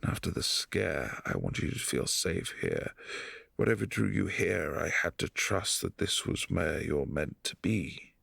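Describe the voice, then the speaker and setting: A man voicing a brooding, lonely vampire prince in an ASMR roleplay, speaking softly and intimately in halting phrases, close to the mic. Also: sits low, sing-song, gravelly, husky, no echo, exaggerated voice. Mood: tender. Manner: soft-spoken, intimate, halting.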